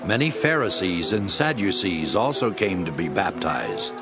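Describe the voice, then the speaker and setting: A man's voice: deep voice, sing-song, a little slow, over loud music. A man giving scripted dramatic narration of the Bible.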